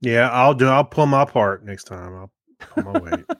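A man's voice: mid-range voice, animated, normal speed, dry recording, clean studio sound. A middle-aged man co-hosting a podcast, chatting casually in a hesitant, rambling way. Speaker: podcast co-host. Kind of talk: casual chat about movies.

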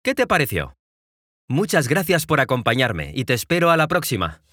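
A man in his twenties with a Mexican accent, narrating clearly and in a friendly way for language learners, addressing the listener directly. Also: mid-range voice, huge pitch swings, moderate pace, bright, forceful tone, no echo, studio quality. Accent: Mexican Spanish. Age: 20s. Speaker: podcast host.

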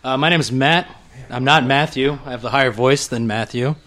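A man appearing as a podcast guest, animated and laughing, swearing freely in casual chat. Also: mid-range voice, fairly quick.

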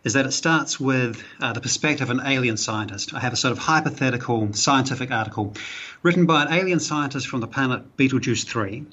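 A man with a New Zealand accent talks through ideas at length, pausing on fillers and restarting phrases. His voice is husky and mid-pitched. The sound is muffled and lo-fi, like a remote call. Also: bright, forceful tone. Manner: thoughtful, explanatory, with frequent fillers. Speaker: guest, author and psychology professor. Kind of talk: podcast interview.

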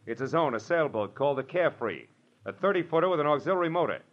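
An American actor playing a cocky, wisecracking private detective in 1940s radio noir, with wry, slangy, clipped delivery. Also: male voice, a little high, animated, quick pace, lightly acted. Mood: confident, wry. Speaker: lead actor and narrator. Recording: dull, noisy old radio recording.

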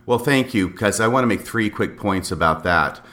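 A man's voice: fairly low pitch, expressive, moderate pace, sharp tone. A man giving conversational, argumentative commentary as a co-host, speaking emphatically and laying out his case point by point.

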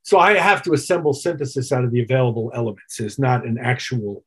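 A middle-aged man with a husky voice answering interview questions as a musician, reflective and detailed in his explanations. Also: medium pitch, fast. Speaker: interview guest, touring keyboard player.

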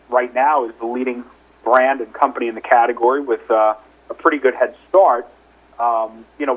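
Male company executive, husky, conversational and hedging, heard through a narrow phone-line recording on an investor call. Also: medium pitch. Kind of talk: investor call question-and-answer. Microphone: telephone conference call. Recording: narrow, muffled telephone line.

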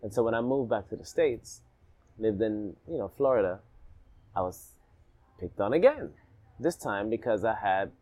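A man from Alabama who grew up partly in Jamaica, telling his story as a podcast guest in a reflective, animated way. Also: medium pitch. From Alabama, raised partly in Jamaica. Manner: reflective, animated, rhythmic repetition. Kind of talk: casual storytelling in a podcast interview.

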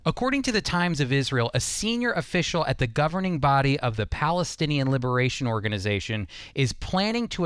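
A man's voice: fairly high pitch, smooth, no echo. Man teaching on radio, dry studio sound. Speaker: radio host and Bible teacher. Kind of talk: scripted radio teaching and commentary.

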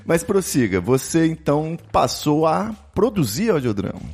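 A man's voice: a little high, sing-song, normal speed, gravelly, a little mellow, breathy edge. A man with a Brazilian accent hosting a casual interview podcast in an informal, opinionated, conversational way. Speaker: podcast host.

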